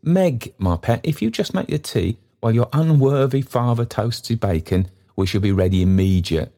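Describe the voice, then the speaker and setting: A man voicing an excitable, kind-hearted old male porter who bustles about breathlessly and keeps repeating himself. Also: medium pitch, normal speed, voice pushed up. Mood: fussy, sly.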